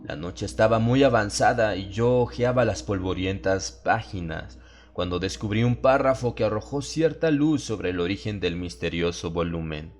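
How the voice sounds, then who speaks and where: A man's voice: lowish voice, moderate pace, slight background noise. A man narrating a horror audiobook in a solemn, dramatic style.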